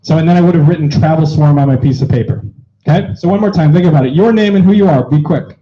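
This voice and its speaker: A man's voice: on the high side, dark tone. A man facilitating an event, explaining the rules to an audience in an upbeat, joking way. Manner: upbeat, joking, quick asides. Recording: dull lo-fi sound.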